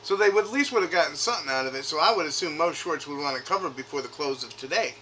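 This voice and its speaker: A man's voice: a little high, expressive, quick pace, pushed and bright. A man talking informally on a phone call as a caller, insistently questioning and often rephrasing as he goes. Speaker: caller, retail investor. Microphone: makeshift recording device grabbed during call. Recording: faint noise, recorded phone call.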